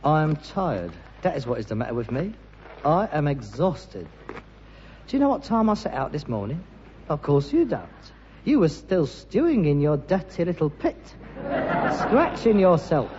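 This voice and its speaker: A man's voice: on the high side, big pitch swings, unhurried, darkish tone, long reverb tail, a faint crowd. An actor playing a put-upon, sarcastic rag-and-bone man with a London accent and grandiose airs in a 1960s British radio sitcom. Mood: weary exasperation. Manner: theatrical, sarcastic, self-consciously grandiose. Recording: dull noisy archive recording, studio audience.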